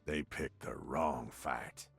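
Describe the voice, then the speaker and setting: An actor playing a grizzled, cocky gunslinger outlaw in a video game, gruff and taunting with a drawl. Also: male voice, a bass voice, steady tone, takes it slowly, no echo, soft background music, heavily acted. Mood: confident threat. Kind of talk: video game character voice lines.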